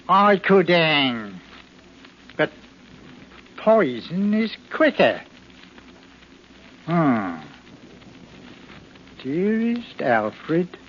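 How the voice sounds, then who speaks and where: An actor playing a meek, nervous henpecked husband, fussy and hesitant, in 1940s radio drama style. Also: male voice, mid-range voice. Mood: reading aloud, morbid. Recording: muffled, noisy 1940s radio recording.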